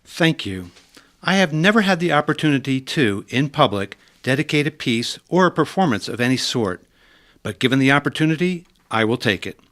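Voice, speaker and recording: Mid-pitched man giving a personal dedication. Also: big pitch swings, moderate pace, a bit bright. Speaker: radio show producer.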